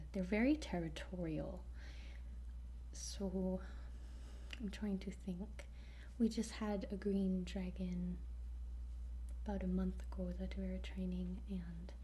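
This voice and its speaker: A woman speaking in a soft, breathy whisper, gently and hesitantly explaining things in an improvised ASMR roleplay, with frequent pauses. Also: fairly low pitch, a little hiss or hum, rough recording. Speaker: ASMR performer playing a dragon training guide.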